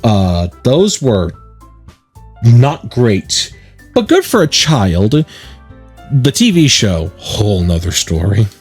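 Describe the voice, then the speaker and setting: Guy doing chatty lets play commentary, bit husky, faint music under it. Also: fairly low pitch, sing-song, slow, pauses often. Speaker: let's play streamer. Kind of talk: let's play commentary mixed with reading game text aloud.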